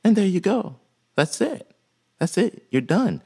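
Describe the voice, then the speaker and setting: A man with a deep voice teaching music production in a relaxed, folksy way, with many "uh" fillers. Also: measured pace, gravelly, slightly rough. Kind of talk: casual software tutorial.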